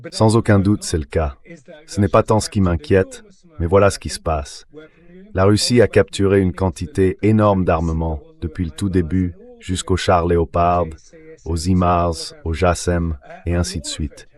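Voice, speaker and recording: A man with a low voice gives geopolitical commentary as an interview guest. His delivery is measured and analytical, in the synthetic voice of a dubbed translation. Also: steady tone, darkish tone.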